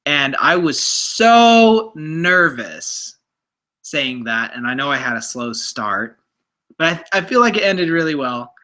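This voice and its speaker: A man in his late twenties co-hosts a relaxed live question-and-answer chat. His pitch moves around a lot as he jokes, with a bright, forceful edge to the sound. He often pokes fun at himself. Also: slow. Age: 20s. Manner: joking, self-deprecating. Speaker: co-host.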